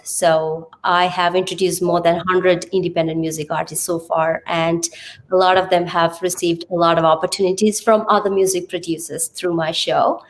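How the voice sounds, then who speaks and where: A woman with a Sri Lankan accent speaking earnestly as an interview guest, explaining things phrase by phrase. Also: on the low side. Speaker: podcast guest, TV presenter and radio host. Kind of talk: conversational interview self-introduction and explanation.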